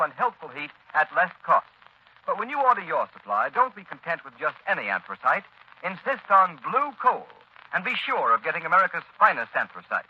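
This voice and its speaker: A man's voice: high voice, breathy edge. A man reading a commercial as an old-time radio announcer, emphatic and salesmanlike. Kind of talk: 1930s-40s radio advertising read. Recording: muffled old radio recording.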